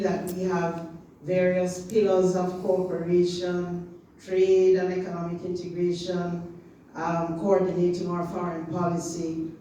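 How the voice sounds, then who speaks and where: A woman's voice: fairly low pitch, mellow, slight background noise. A woman from Jamaica with a Jamaican accent, giving an impassioned public talk as an official of a regional organization. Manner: impassioned, rhythmic emphasis, rising to chant-like slogans. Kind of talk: public talk at a community meeting. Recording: echoey hall, distant mic, faint ambience.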